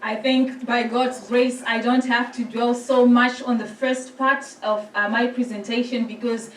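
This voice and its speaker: A woman from Zimbabwe, a priest giving a formal address and reading scripture in a measured, gentle way. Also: on the high side, flat delivery. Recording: roomy hall sound from a distant mic.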